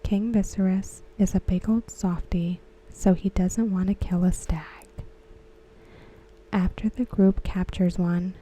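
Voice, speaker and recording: A woman whispering softly and slowly as she recaps and discusses a TV episode for an ASMR video. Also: lowish voice, low-quality recording. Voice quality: breathy. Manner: slow, soft whispering. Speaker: ASMR creator. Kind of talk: soft-spoken ASMR recap.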